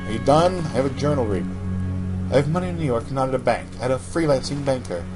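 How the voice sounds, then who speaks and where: A man's voice: mid-range voice, expressive, quick pace. A man hosting a let's play, reading in-game journals aloud and making sarcastic asides. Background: music.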